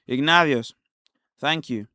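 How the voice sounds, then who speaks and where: A man from Nigeria hosting a casual commentary livestream, talking in an animated, rhetorical way with laughs between points. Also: fairly high pitch, no echo, clean studio sound. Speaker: livestream host.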